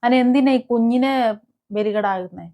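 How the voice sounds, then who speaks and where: A woman hosting a commentary video, talking casually and with strong opinions in a halting, emphatic way. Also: a little high, warm tone. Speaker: host of a gossip and commentary video channel.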